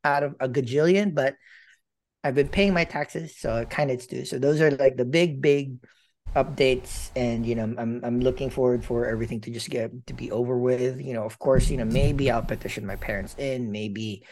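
A man giving personal updates in a casual chat, rambling and halting with many fillers and casual swearing. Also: mid-range voice, darkish tone, a little hiss or hum, poor audio.

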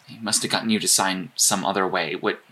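An actor playing an earnest, apologetic strategist in an audio drama, speaking in a halting, analytical way. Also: male voice, low-pitched, sharp tone. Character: earnest, apologetic male strategist guiding teammate remotely. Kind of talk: naturalistic audio drama dialogue.

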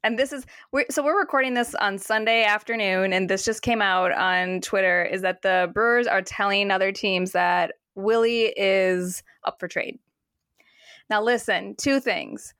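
A woman's voice: medium pitch, unhurried, a bit bright, smooth, no echo, clean studio sound. A woman co-hosting a baseball fan podcast, chatting casually in a frank, matter-of-fact way. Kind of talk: casual chat.

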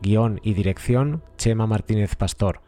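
A man with a low, mellow voice reads scripted credits as an announcer. Faint music plays behind him. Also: unhurried.

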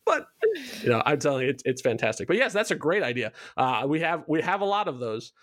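A man's voice: on the high side, sing-song, fast, a bit bright, no room sound, studio quality. A man speaking as a show creator in a casual promotional chat, rambling along in a jokey, self-deprecating way. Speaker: show creator being interviewed.

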